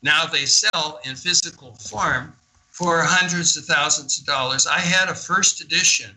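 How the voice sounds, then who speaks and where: A man's voice: fairly high pitch, measured pace, sharp tone, poor audio. An older man talking as a guest in a radio interview, telling of his experiences in an enthusiastic, emphatic, conversational way.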